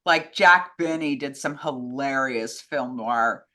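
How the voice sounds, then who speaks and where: A woman's voice: a bass voice, slow pace, sharp tone. A woman in casual film and radio chat, informal and opinionated, rambling with lots of "like". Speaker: co-host answering fan questions.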